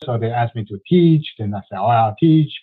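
A man's voice: medium pitch, animated, brisk, husky. A man in his sixties on a panel, recalling his school work with many hesitations. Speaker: panelist, community school principal. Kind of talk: conversational panel answer. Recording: tinny phone-like call audio.

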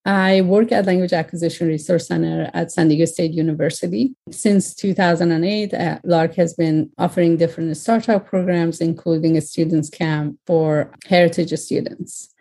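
A woman panelist giving a measured, slightly formal answer about her work in education. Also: on the low side, steady tone, mellow. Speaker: panelist, language school vice principal.

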